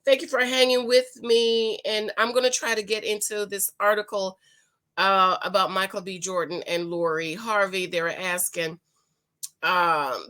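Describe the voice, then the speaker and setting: A hoarse woman with a raspy voice, giving preachy, emphatic relationship commentary straight to her viewers. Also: mid-range voice, bright, forceful tone. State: hoarse, voice still recovering. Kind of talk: casual talk to camera.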